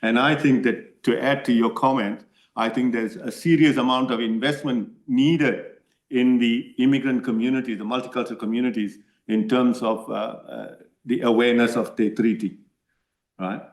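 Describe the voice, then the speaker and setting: A man's voice: mid-range voice, steady tone, normal speed. Earnest man, echoey room. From Malaysia. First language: Tamil. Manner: earnest, repeatedly asks "right?". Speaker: submitter to a parliamentary committee. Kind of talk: answering committee questions.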